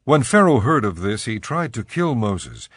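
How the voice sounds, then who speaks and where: A man narrating a dramatized scripture reading as a measured storyteller. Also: medium pitch, big pitch swings, a bit bright, breathy edge, no room sound, clean studio sound.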